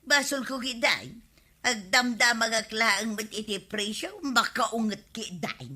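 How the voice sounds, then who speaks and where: A woman's voice: fairly high pitch, a little slow, sharp tone. An actress playing a testy, defensive village woman with a Filipino accent, arguing in a radio comedy drama. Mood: defensive, annoyed.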